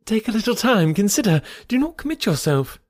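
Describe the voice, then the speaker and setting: A man voicing a young gentlewoman who speaks eagerly and earnestly in a period audiobook. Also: mid-range voice, no room sound, studio quality, voice pushed up, close to an everyday voice. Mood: eager pleading. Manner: quick eager pleading.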